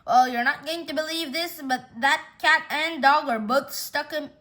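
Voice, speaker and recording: A woman's voice: high voice, normal speed, bright, forceful tone. A woman voicing a goofy, easygoing middle-aged uncle who rambles in a folksy way, in a storybook reading. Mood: cheerful, sheepish.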